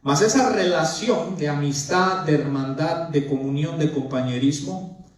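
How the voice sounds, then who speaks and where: A man's voice: fairly high pitch, measured pace. A man preaching a sermon as pastor, earnest and emphatic, repeating phrases and addressing listeners as brothers. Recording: very echoey room, mic far off.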